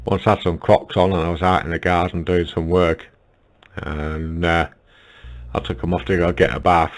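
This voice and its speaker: A man's voice: low voice, flat delivery, normal speed. A man with a northern English accent chatting casually as an amateur radio operator, blunt and grumbling with dry humour. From United Kingdom.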